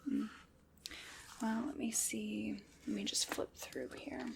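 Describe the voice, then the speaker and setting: A woman playing an ancient undead woman who commands a skeleton army, in a soft, dry, teasing whisper for an ASMR roleplay. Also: fairly high pitch, steady tone, slow pace, creaky, slight background noise, full character voice.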